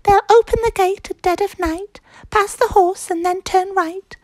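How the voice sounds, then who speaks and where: A woman voicing a tiny, earnest female ladybird from a children's storybook, squeaky and sing-song, urgently passing on a secret. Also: steady tone, a little slow, darkish tone, no room sound.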